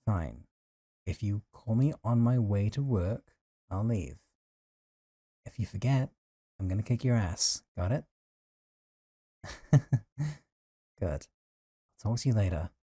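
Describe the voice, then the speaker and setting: A man's voice: lowish voice, expressive, slow pace, long pauses, dark tone, slightly rough, no echo, studio quality, exaggerated voice. A man acting a possessive, lovesick young man in an intimate audio roleplay, talking in a casual, teasing, conversational way with sighs. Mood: fond, teasing. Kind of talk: intimate audio roleplay drama, one side of a call.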